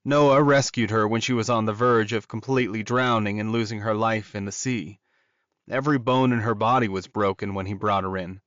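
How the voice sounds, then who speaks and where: A man's voice: on the low side, fairly even intonation, fairly quick, fairly bright tone, no room sound, highs cut off. A man reading a dramatic story aloud as a scripted audiobook narrator.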